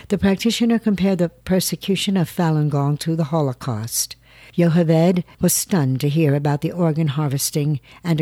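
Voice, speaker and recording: A narrator reading scripted news in a calm, steady way. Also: medium pitch, no echo.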